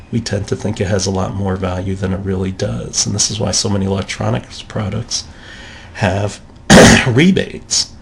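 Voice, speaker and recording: A man's voice: lowish voice, vocal fry, slight background noise. A man giving a recorded college lecture in a conversational way, acting out the thoughts of shoppers in quotes.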